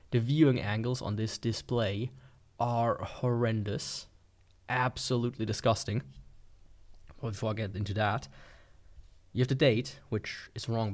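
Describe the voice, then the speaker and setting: A man giving casual, sarcastic, playfully exaggerated commentary as he unboxes and reviews a gadget. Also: medium pitch.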